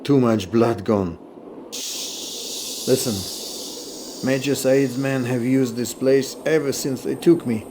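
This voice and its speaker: An actor playing a sardonic, world-weary male arms dealer in a video game, with wry, deliberate, theatrical phrasing. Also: medium pitch, noisy background, lightly acted. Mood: wounded, weary. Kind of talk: video game cutscene acting.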